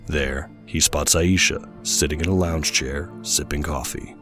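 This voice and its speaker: A man's voice: a bass voice, steady tone, measured pace, over music. A man playing the solemn narrator of a superhero audio drama, reading scripted scene descriptions dramatically. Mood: solemn, dramatic.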